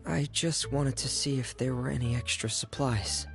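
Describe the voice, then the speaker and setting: A man playing a shy, gentle teenage boy in a video game, speaking in a timid, halting way. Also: medium pitch, vocal fry, faint music behind. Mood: evasive, nervous.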